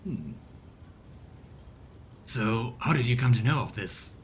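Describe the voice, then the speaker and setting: A man's voice: medium pitch, animated, slow pace, a little hiss or hum. A man giving casual commentary as a game streamer during a let's play. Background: music.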